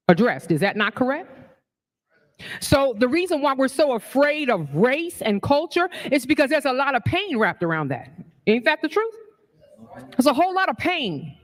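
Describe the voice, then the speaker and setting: 60s woman giving a fired-up forum speech, keeps asking the crowd questions. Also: on the high side. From New York. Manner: passionate, rhetorical, asks the audience questions. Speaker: forum speaker. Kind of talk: public speech at a community forum.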